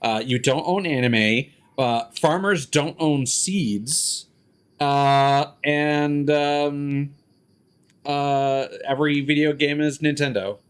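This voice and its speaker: A man's voice: fairly high pitch, steady tone, takes it slowly, clear, resonant tone. A man live streaming a game, chatting casually with rambling, profane, opinionated commentary. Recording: music behind the voice.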